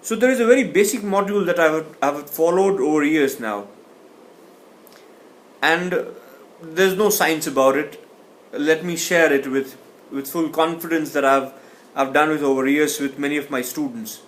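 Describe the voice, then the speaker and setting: A man's voice: on the high side, moderate pace, a little hiss or hum. A man teaching a communication class, speaking thoughtfully and often restarting or repeating words. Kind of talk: explanatory lecture.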